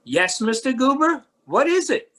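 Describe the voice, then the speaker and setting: A performer playing a kindly, lonely, mild-mannered man in a readers theatre children's story, with a husky, hesitant delivery. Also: high-pitched, sing-song, unhurried, fairly bright tone, exaggerated voice. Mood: concerned.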